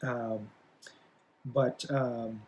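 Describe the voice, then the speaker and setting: A young man talks through a class introduction at a mid-range pitch. He hesitates often, fills gaps with "um" and keeps checking in with "right?". Faint noise hums behind his voice. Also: flat delivery, extremely slow, a little mellow, highs cut off. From Philippines. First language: Bisaya. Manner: hesitant, many fillers, frequent tag "right?". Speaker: college English instructor. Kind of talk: casual recorded class introduction.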